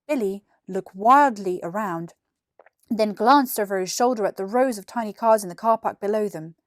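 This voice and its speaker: A British woman in her twenties reading a children's story in an expressive, clearly articulated narration. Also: medium pitch, moderate pace, no echo, clean studio sound. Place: small furnished room indoors. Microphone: lapel mic.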